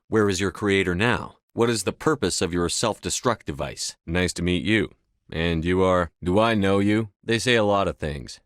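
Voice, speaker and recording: A low male voice, close and dry, a resolute military commander in a sci-fi game. He speaks in terse, assertive lines with a blunt, controlled manner. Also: a bit bright, dry recording. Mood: firm, composed. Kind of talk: scripted video game dialogue.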